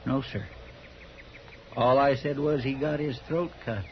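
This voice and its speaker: A man's voice: mid-range voice, a little slow, natural voice. An American man playing a folksy, deferential frontier sidekick with a rural Western drawl in a radio western. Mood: earnest. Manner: plain-spoken, folksy, deferential. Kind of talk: 1950s radio western acting. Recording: dull, noisy 1950s radio recording.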